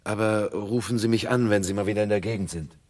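A man's voice: lowish voice, steady tone, fast, a little mellow, close to an everyday voice. An actor playing a guarded, terse male customer buying guns in a radio drama. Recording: voice distant from the mic.

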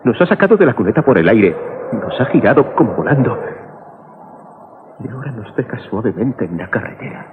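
An actor with a Castilian Spanish accent playing an anxious, awestruck father in a dramatic, excitable 1980s radio drama style. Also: medium pitch, a little fast, long pauses, close to an everyday voice. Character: anxious, awestruck father stranded with his family. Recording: tinny, noisy 1980s radio recording.

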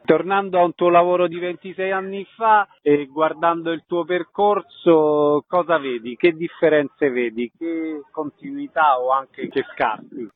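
A man hosting a radio programme, putting questions to guests and announcing events in a measured, formal way. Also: fairly high pitch, fairly even intonation, no room sound, phone-quality sound. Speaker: radio interviewer and host.

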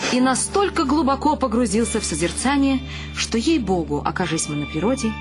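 A woman acting and narrating in a radio play as a whimsical, wry middle-aged wife and mother. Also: on the high side. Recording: studio radio drama with music behind.

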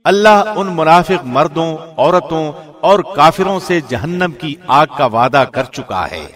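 A man reading a scripted scripture translation in a formal, deliberate radio presenter's delivery. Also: on the high side, animated, fairly bright tone.

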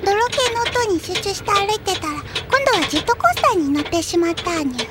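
A woman's voice: extremely high pitch, expressive, fast. A woman voicing a tiny, blunt, fearless cat-eared girl in an anime audio drama, childlike and curt, ending sentences with a cat-like nya. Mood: deadpan. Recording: music playing loudly behind.

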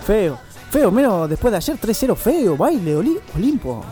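A man with a Rioplatense Argentine accent hosting casual radio banter in an excitable, animated manner. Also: high voice, moderate pace, faint music behind. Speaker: radio host.

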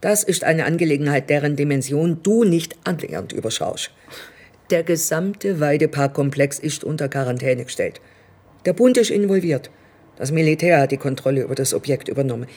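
A woman's voice: low voice, brisk, a little mellow. A woman acting in a radio play as a menacing, condescending state premier who speaks with controlling courtesy. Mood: cold pressure.

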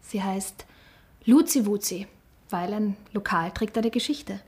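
An actress playing a gentle, probing woman with a conversational delivery in a German radio drama. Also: medium pitch, unhurried, natural voice.

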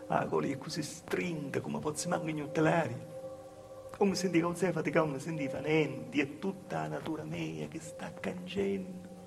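A man with a Calabrian accent playing an anxious, wronged young village woman in a halting, repetitive theatre monologue. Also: fairly high pitch, lively intonation, vocal fry, over music. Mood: anxious, plaintive. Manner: halting, repetitive, dropping to hushed asides. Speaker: stage actor performing a monologue. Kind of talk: dialect theatre monologue, storytelling.

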